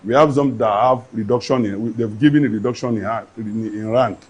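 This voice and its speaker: A man's voice: lowish voice, normal speed, breathy edge. A man, an agency official answering interview questions in an earnest, official way. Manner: earnest, official, with some restarts.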